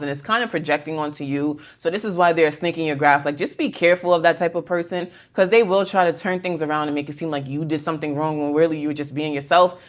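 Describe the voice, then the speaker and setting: A woman hosting a casual tarot reading, talking in a blunt, slangy, conversational way as she spins out scenarios. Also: deep voice. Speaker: tarot reader and video host. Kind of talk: casual pick-a-card tarot reading to camera.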